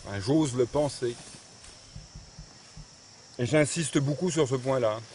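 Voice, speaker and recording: A man's voice: medium pitch, slow, darkish tone, slight background noise. A man who belongs to a religious order, speaking earnestly and thoughtfully in an interview, thinking aloud as he goes. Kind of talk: reflective interview talk. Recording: echoey room, mic at a distance.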